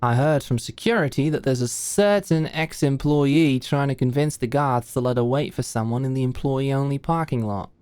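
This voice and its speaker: A woman's voice: rumbling low, normal speed, hardly any pauses, darkish tone, smooth, no room sound. A woman playing a composed, wry senior corporate mentor who muses and confides in a scripted ASMR audio roleplay. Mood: fond, wry.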